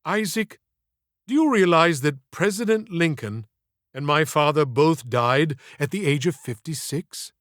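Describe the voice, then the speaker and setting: A man's voice: a little high, animated, measured pace, fairly bright tone, no echo, clean studio sound. A man voicing an ailing middle-aged stage actor in an audiobook, speaking in an ornate, reflective, wistful way. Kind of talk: audiobook character dialogue.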